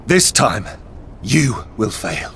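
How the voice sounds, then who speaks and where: Low-voiced, solemn demigod. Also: male voice, very animated, gravelly, a little hiss or hum, exaggerated voice. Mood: resolute. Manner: grave, measured storytelling. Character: solemn, earnest male demigod, son of Furies. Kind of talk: dramatic video game cutscene acting. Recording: loud music behind the voice.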